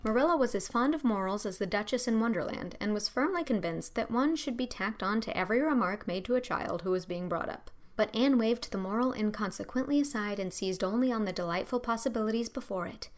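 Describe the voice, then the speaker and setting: High-voiced woman narrating a novel. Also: lively intonation, a little fast, hardly any pauses, faint music behind. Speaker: audiobook narrator. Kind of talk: scripted literary narration.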